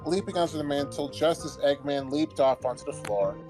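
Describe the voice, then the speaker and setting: A man's voice: animated, fairly quick. A man reading scripted comedic fanfiction as the narrator. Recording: old-timey low-quality mic, background music.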